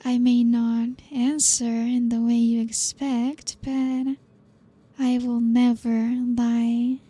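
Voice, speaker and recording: A young woman voicing a teasing, possessive aristocratic vampire in an ASMR roleplay, speaking slowly and softly with hushed whispers. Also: on the high side, little pitch movement. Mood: silky teasing.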